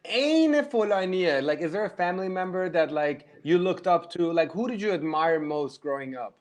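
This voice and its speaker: A man's voice: sits high, expressive. A man hosting an interview, asking eager questions in a casual chat. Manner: eager, rapid questioning with many restarts. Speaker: interview host.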